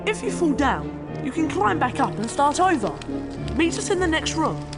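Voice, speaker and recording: A woman's voice: sits high, sing-song, moderate pace, over loud music. A woman voicing a cheerful, mischievous schoolboy wizard who gives upbeat instructions as video game tutorial dialogue. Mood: helpful, mischievous.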